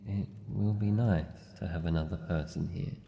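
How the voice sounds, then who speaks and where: An Australian man voicing a solitary shadow monster in a fantasy ASMR roleplay, formal, deliberate, wary but gentle. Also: low voice, fairly even intonation, creaky. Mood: formal, guarded, reassuring. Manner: slow, deliberate, formal phrasing. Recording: dull muffled audio, long reverb, noisy ambience.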